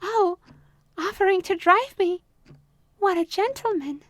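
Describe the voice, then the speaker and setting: A woman's voice: very high-pitched, unhurried. A woman playing a sweetly possessive therapist. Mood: flirty, sweet. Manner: honeyed, possessive, calmly controlling. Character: manipulative possessive female therapist, sweetly controlling. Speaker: voice actress. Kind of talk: ASMR roleplay audio.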